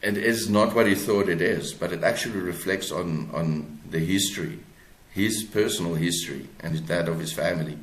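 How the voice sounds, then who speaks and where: A South African man speaking as an interviewed author, explaining his work haltingly and searching for words. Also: low-pitched. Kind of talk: conversational interview, explaining own book. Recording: roomy, echoey sound.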